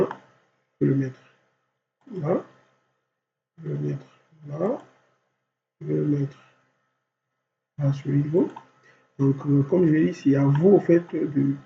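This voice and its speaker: A man with a head cold walks through a software tutorial, talking himself through each click. His fairly high voice has a gravelly edge. The recording is dull and lo-fi, with a faint hum underneath. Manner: thinks aloud step by step, constant "voilà". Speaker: software instructor.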